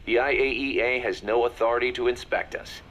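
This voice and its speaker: An actor playing a serious military second-in-command in a video game, briefing in a businesslike, measured manner. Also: male voice, mid-range voice, fairly even intonation, a bit bright, lightly acted.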